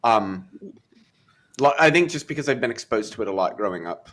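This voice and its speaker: A man's voice: lowish voice, animated, a little fast, fairly bright tone. A man in casual chat, joking in a crude, sardonic way.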